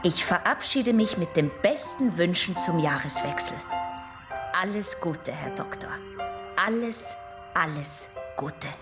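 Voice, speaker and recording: A woman's voice: lowish voice, expressive, measured pace, over loud music. An actress playing a guarded young woman in a radio drama, answering her analyst politely and with restraint. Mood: guarded, restrained. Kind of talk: German radio drama acting.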